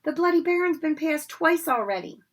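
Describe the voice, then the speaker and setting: A woman's voice: very high voice, expressive, moderate pace, pitched above the performer's own voice. A woman voicing a timid, frightened schoolboy who pleads not to be left alone. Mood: pleading.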